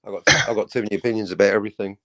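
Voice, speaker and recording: A man's voice: fairly low pitch, steady tone, very fast, no echo. A man bantering on a livestream in a teasing, wry, mock-grumpy way.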